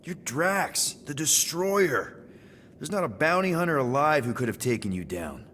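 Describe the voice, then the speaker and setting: An actor playing a casual, wisecracking space adventurer in a video game, with an informal, flippant way of talking. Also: male voice, fairly high pitch, sing-song, unhurried, a bit bright, slight background noise. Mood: curious. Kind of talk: video game cutscene acting.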